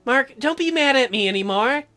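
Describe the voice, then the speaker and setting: A man's voice: very high voice, animated, moderate pace, pushed and bright, pitched above the performer's own voice, exaggerated voice. A man voicing a sweet, apologetic teenage girl, reading visual novel dialogue aloud.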